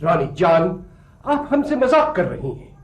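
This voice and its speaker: Haughty brit colonial sahib, high swoopy voice, drawn-out words, touchy and bossy, tv drama. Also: male voice, huge pitch swings, breathy edge, heavily acted. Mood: boastful, displeased. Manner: haughty, slow, declamatory. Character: pompous, imperious, easily offended British colonial sahib. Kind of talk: theatrical screen drama acting.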